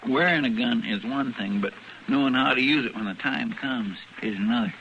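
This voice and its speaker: Actor as bright, folksy western sidekick. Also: male voice, mid-range voice, normal speed, close to an everyday voice. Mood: cheerful, earnest. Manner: folksy, rustic drawling grammar. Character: folksy, good-natured western lawman's sidekick, simple and talkative. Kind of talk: old-time radio western acting. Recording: dull, faintly noisy old radio recording.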